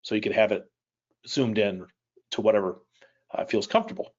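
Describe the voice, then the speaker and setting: A man's voice: fairly low pitch, moderate pace, darkish tone, lo-fi sound. A middle-aged man from Chicago whose first language is Polish, leading a live online reading class in a conversational way, asking his audience questions and making light jokes. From Chicago, United States. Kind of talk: live webinar instruction. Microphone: personal mic on a webcam live stream.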